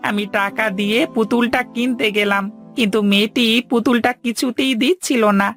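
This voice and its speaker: Casting: woman playing a sly, obedient cartoon manservant, high voice with gravelly creak. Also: fairly even intonation, a little fast, a bit bright, faint music behind. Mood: anxious. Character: servant, male, obedient to master, sly and bullying. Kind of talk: cartoon voice acting.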